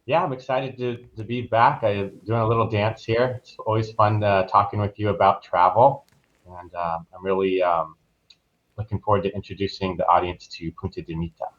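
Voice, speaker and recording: Lower-pitched man on dull lo-fi audio. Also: fairly even intonation, moderate pace. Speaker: podcast guest, travel professional. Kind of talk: casual interview talk.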